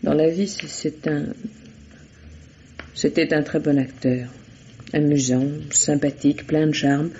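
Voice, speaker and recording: An actor playing an evasive, defensive murder suspect in a vintage radio mystery, hesitant and guarded under questioning. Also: male voice, on the high side, little pitch movement, measured pace, long pauses, mellow, slight background noise. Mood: explaining, wistful. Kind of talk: 1950s French radio mystery acting. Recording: dull lo-fi old radio recording.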